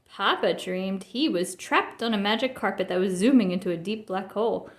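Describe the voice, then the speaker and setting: A woman's voice: medium pitch, moderate pace, roomy sound. A woman narrating a children's storybook as a scripted read-aloud.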